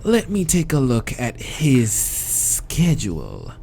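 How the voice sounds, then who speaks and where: Man putting on Vec, a slow, polite monster assistant. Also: mid-range voice, huge pitch swings, creaky, warm tone, heavily acted. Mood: smooth, oily. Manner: drawn-out, courteous, unhurried.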